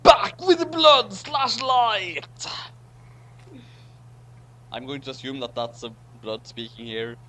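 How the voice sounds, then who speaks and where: A man's voice: high-pitched, slow pace, pauses often, bright, forceful tone. A man reading a story aloud theatrically and chatting casually with friends. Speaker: co-host reading fan fiction aloud.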